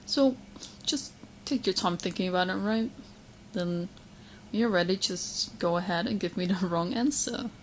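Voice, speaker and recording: A woman acting as a lovesick yandere witch with a fairly low, young voice. She talks sweetly and playfully to her beloved in an ASMR audio roleplay, possessive underneath the cuteness. Noticeable background noise sits behind her. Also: normal speed, lightly acted, poor audio. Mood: playful, coaxing. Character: sweet, romantically obsessed yandere witch girlfriend. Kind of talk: ASMR roleplay audio drama, spoken to the listener.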